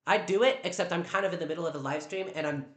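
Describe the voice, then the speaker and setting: Loud man streaming from an echoey room. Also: on the high side, very fast. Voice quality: naturally loud voice. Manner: defensive, stammers with repeated words. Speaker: live streamer. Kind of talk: live-stream commentary. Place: at home in a downstairs room.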